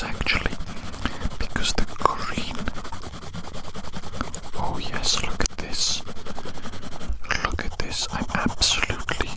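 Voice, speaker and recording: A person whispering very close to the mic in a breathy, unvoiced ASMR roleplay ramble, softly repeating words and phrases. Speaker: ASMR artist playing an alien who draws on the listener's face. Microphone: very close microphone.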